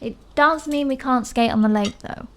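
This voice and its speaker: A woman playing an easily impressed, gently teasing house guest in an audio drama, speaking in a light, conversational way. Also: sits high, normal speed. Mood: light doubt. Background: music.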